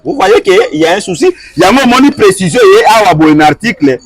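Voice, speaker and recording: Animated man with a high lively voice. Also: fast talker, dry recording. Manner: argumentative, asks rhetorical questions, mixes French and Lingala. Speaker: guest. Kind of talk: animated interview answers.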